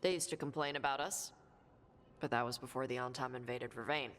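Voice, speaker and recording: A woman playing a blunt, laconic young dragon hunter and treasure seeker in a video game, talking gruffly and informally. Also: a bass voice, steady tone, brisk, fairly bright tone, slight background noise. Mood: earnest, plain. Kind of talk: video game dialogue acting. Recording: music under the dialogue. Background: game music.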